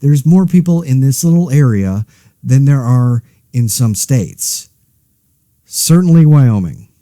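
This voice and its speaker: A man playing a weary, anxious podcast host in a zombie outbreak, speaking casually with dry, wry, halting asides. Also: medium pitch, animated, warm tone, no echo. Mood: mildly frustrated. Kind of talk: casual monologue, scripted fiction podcast.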